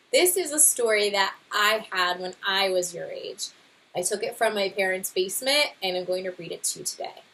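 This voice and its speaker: A woman narrating a children's read-aloud, talking directly to young listeners with expressive questions and pauses. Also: medium pitch, rough recording.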